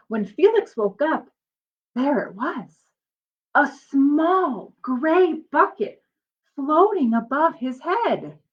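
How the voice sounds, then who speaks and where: A woman's voice: sits high, muffled recording. A woman reading a picture book aloud like a teacher, expressively and with simple explanatory asides for young children.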